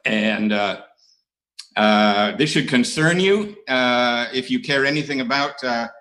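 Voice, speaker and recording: Bright-voiced man, self-mocking AA share. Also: mid-range voice, slow pace, low-quality recording. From United States. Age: middle-aged. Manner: self-mocking, dry humor, many uhs. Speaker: AA speaker. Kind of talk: recovery share talk. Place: online conference.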